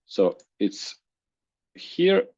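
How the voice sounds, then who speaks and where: A man whose first language is Croatian, presenting a software demo in a webinar, halting with restarts and fillers over a video call. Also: no echo, lo-fi sound. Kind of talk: screen-share software demo.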